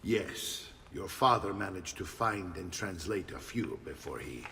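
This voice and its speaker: An older italian uncle assassin, low solemn storyteller voice, italian accent, bit far off in a big hall. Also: male voice, animated, unhurried, creaky, noisy background, heavily acted. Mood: mysterious, grave. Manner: weighty, storytelling, paternal.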